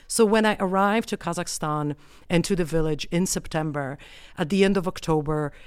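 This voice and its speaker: A woman anthropologist from the United States, answering interview questions in a measured, explanatory way with frequent pauses. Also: fairly low pitch, clean tone, dry recording, studio quality. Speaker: anthropologist guest.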